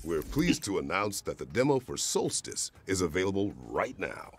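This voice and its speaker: A man's voice: sits low, big pitch swings, moderate pace, crowd noise. A man reading scripted narration as the announcer of a showcase.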